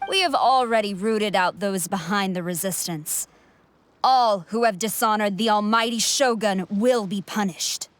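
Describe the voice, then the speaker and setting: An actress playing a haughty, coldly confident army commander in a video game, speaking in a measured, imperious and condescending way. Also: female voice, medium pitch, lightly acted. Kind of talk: dramatic video game voice acting.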